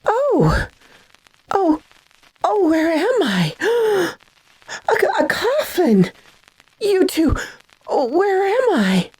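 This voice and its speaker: A woman's voice: very high voice, wildly swooping pitch, very slow, pauses often, darkish tone, exaggerated voice, low-quality recording. An actress playing a frightened, bewildered, fragile lady in a radio melodrama, hesitant and breathless. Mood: dazed, pleading. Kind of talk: classic radio drama acting.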